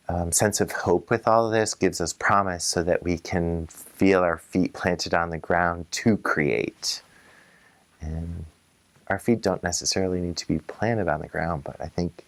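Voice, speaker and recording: Guy talking real slow and low, measured, reflective interview, dry sound. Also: no echo.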